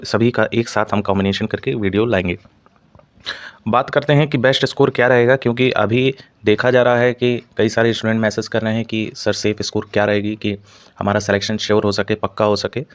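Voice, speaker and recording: A man's voice: fairly low pitch, dull audio. A man explaining exam information to students as a channel host, in an explanatory way with repeated stock phrases.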